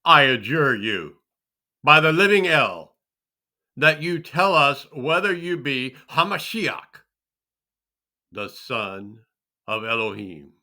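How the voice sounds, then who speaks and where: Man acting a forceful, indignant high priest. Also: big pitch swings, slow pace, pauses often, heavily acted. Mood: commanding. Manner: commanding, accusatory. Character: stern, indignant male high priest demanding answers. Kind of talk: dramatic scripture reading.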